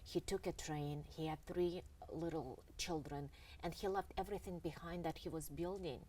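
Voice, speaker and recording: Lady, deep-ish voice, kinda far from the mic, earnest halting storytelling. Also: fairly even intonation, mellow. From Russia. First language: Russian. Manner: earnest, halting, with many pauses and fillers. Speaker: author and interview guest. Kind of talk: reflective storytelling in an interview. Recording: distant mic with faint background noise.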